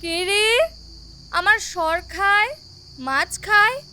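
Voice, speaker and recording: Woman acting a high-voiced, kindly old grandmotherly auntie. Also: extremely slow, bright, forceful tone, a little hiss or hum, exaggerated voice. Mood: sharp challenge. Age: older. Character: kindly older grandmotherly woman, scolding yet coaxing. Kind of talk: dramatized audio story acting.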